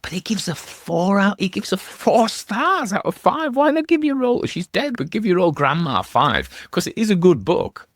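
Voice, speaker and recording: A man's voice: high voice, big pitch swings, normal speed, hardly any pauses, dry recording. A man giving rambling, informal literary commentary as a host, musing and breaking off his own sentences, with wry asides. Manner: musing, self-interrupting, conversational. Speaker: host and narrator of ghost story readings.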